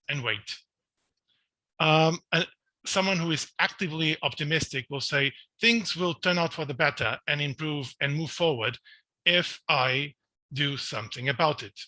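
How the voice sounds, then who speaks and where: A man's voice: on the high side, sharp tone, no room sound. A middle-aged man from Portugal with a Portuguese accent, giving an earnest conference talk full of restarts and fillers. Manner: earnest, self-questioning, frequent restarts and fillers. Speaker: researcher giving a congress talk. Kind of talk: recorded online conference talk.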